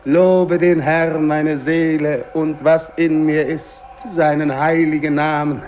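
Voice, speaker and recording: Slow, solemn, solitary polar explorer. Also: male voice, fairly high pitch, level tone, darkish tone, highs cut off. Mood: fervent, impassioned. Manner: slow, declamatory, with long pauses. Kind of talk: 1950s German radio drama monologue. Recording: noisy old radio drama recording.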